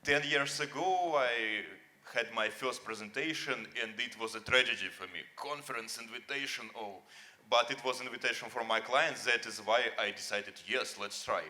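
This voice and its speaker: A man's voice: medium pitch, normal speed, pushed and bright. A man on a conference panel whose first language is Russian, speaking earnestly and encouragingly in slightly broken English. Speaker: panelist, company founder. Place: conference hall stage.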